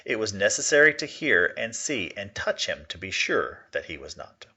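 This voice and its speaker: A man giving an expressive reading of a gothic short story, now and then stumbling and correcting himself. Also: lowish voice, normal speed, breathy edge, muffled recording. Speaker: reader of a classic short story. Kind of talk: dramatic reading of a gothic tale.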